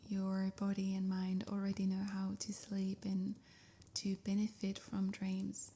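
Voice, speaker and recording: A woman softly guiding a sleep meditation in a breathy near-whisper, with soothing, measured phrasing. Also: mid-range voice, monotone. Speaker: meditation guide. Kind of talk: guided sleep meditation, scripted.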